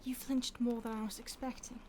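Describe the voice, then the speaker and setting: A woman voicing a polite, predatory female vampire who toys with her captive in soft, often whispered, mock-polite speech. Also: on the high side, little pitch movement, a little fast, a little hiss or hum, natural voice. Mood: menacing relish. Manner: teasing, mock-polite, savoring. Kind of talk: audio roleplay.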